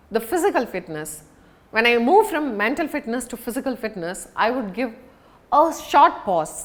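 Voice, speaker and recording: A woman giving an instructional lesson as a public speaking coach, talking in a deliberate, emphatic way with pauses for stress. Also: a little high.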